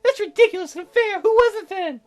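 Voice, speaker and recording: Bitter, self-righteous wife for a visual novel, acted by the player, pitched high, light music underneath. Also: fast talker. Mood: indignant. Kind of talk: visual novel character voicing. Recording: music behind.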